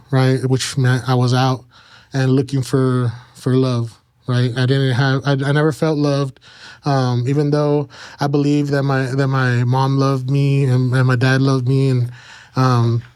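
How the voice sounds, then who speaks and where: A man in his thirties with an American accent, telling his personal story casually as an interview guest, with halting phrasing and frequent pauses. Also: mid-range voice, darkish tone, smooth. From Kansas and Mexico. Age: 30s.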